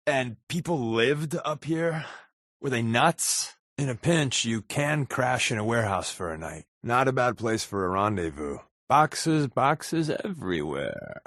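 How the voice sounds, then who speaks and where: An actor playing a wry, wisecracking undercover agent in a video game, with a sarcastic, deadpan, conversational delivery. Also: male voice, mid-range voice, a little slow, dry recording, studio quality. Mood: playful, joking. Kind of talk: video game companion dialogue.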